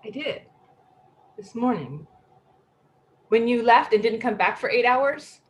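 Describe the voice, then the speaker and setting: A woman in a play reading, playing a naive, eccentric woman with halting, repetitive phrasing. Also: on the high side, lively intonation, highs cut off. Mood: wistful, determined. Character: naive, eccentric woman upset about a talking icebox. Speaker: actor in a staged play reading. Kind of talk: readers theatre acting.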